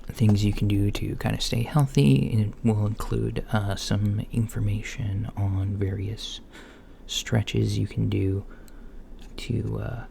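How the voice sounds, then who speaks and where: Man as a slow, soft-spoken, creaky alien doctor. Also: fairly low pitch, full character voice. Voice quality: soft-spoken, often dropping toward a whisper. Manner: hesitant, politely clinical, matter-of-fact. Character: mild, curious alien doctor giving a routine checkup. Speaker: ASMR roleplay creator. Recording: lo-fi with faint background noise.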